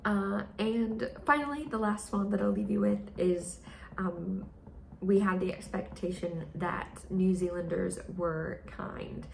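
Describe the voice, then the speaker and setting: A woman's voice: steady tone, measured pace, vocal fry, a little mellow. A young American woman chatting casually in a vlog, full of fillers and playful quoted voices. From United States. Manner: chatty, with many fillers, mimics others in high voices. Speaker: vlogger. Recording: echoey room, faint noise.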